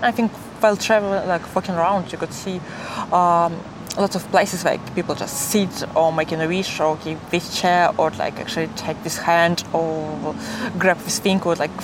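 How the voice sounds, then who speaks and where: A woman's voice: fairly low pitch, normal speed. Young Russian woman on a noisy street. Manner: animated, giggly, uses filler "like" heavily. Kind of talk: casual conversational storytelling. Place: outdoors in a city. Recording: noisy city street with traffic.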